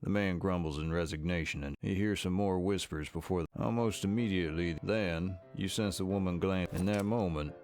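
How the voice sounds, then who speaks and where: A man's voice: low-pitched, normal speed, hardly any pauses, darkish tone, soft background music. A man narrating a scripted story in the second person as a game's storyteller.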